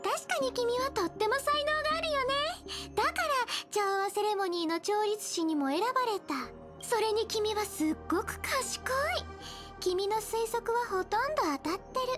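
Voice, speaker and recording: A woman's voice: extremely high pitch, expressive, fast talker. A woman playing a mischievous, teasing young female trickster in an anime-style game, playful and taunting with a singsong delivery. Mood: sly teasing. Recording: game music under the voice.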